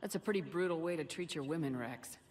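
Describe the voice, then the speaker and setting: A woman playing a steady, direct human military commander in a video game, asking questions in a businesslike way. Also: sits low, fast, natural voice. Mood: probing, firm. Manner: businesslike, probing. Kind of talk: video game cutscene acting. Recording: slightly distant, faintly noisy game capture.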